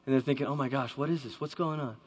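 A man's voice: medium pitch, quick pace, a little mellow, breathy edge. A man giving a dramatic storytelling talk to a live audience, acting out the voices he quotes. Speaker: speaker. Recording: long hall reverb.

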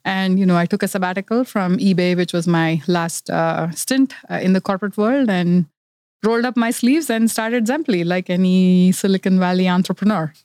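A middle-aged woman who grew up in India speaks earnestly as a founder in a podcast interview, with many fillers as she explains. Her voice is smooth and resonant. The sound is dry and close. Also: fairly low pitch, normal speed, a little mellow, dry recording. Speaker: startup founder.

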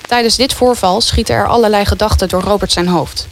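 A woman's voice: medium pitch, brisk, a little hiss or hum. A woman reading scripted narration as the storyteller of a historical radio play.

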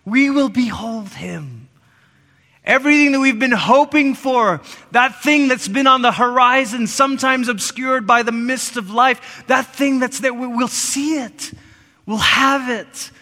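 A middle-aged man from Malaysia preaches from the pulpit in a high, bright voice. He throws himself into the big lines and then pulls back to quiet, intimate phrases. His words ring out with the long echo of a church hall. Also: a little slow. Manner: animated, swings between loud emphasis and soft hushed lines. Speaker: pastor. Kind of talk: sermon. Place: church sanctuary.

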